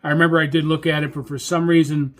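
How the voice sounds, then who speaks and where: American man with a slightly high voice. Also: level tone, fast. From United States. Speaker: amateur radio operator and host. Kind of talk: informal instructional talk.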